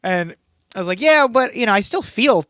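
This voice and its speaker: A man's voice: high-pitched, expressive, normal speed, a bit bright, no room sound, studio quality. A man in his late twenties, a podcast co-host telling a story in casual chat, acting out what others said with lots of laughs. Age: 20s. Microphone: podcast microphone.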